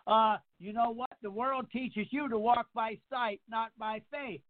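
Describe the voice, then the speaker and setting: A man in his sixties preaches with a fairly high voice, piling up repeated phrases and firing off rhetorical questions at his listeners. It sounds like a narrow, tinny phone line. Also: fairly even intonation, unhurried. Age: 60s. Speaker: pastor. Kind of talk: radio sermon.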